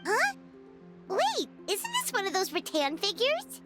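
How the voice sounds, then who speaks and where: A woman voicing a small, chirpy, excitable childlike fairy companion in a video game, with squeaky, expressive delivery. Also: unhurried, full character voice. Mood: puzzled, curious. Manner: exaggerated, expressive reactions. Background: game music.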